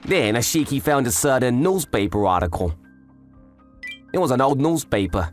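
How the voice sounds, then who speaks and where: A man's voice: medium pitch, animated, moderate pace, lightly acted. A man voicing a curious, easily astonished student from a handyman club, narrating casually and expressively in an anime-style dub. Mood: puzzled, explaining. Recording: voice over background music.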